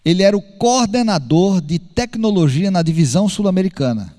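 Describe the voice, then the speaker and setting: A man preaches to a congregation, with a high voice that leaps around as he tells a story and acts out each character's lines. His voice rings out with a long reverb from a large church hall. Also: brisk. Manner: animated storyteller acting out dialogue. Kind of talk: sermon storytelling.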